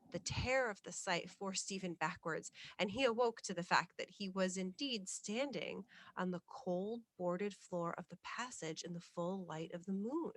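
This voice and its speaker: A woman reading a classic ghost story aloud as scripted bedtime narration, in a measured, literary storytelling manner. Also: mid-range voice, fairly bright tone, no echo. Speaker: storyteller, reader of ghost stories.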